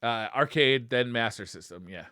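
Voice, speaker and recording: A man's voice: mid-range voice, lively intonation, moderate pace, sharp tone, no echo, studio quality. A man co-hosting a casual retro gaming podcast, talking in a conversational way. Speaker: podcast co-host.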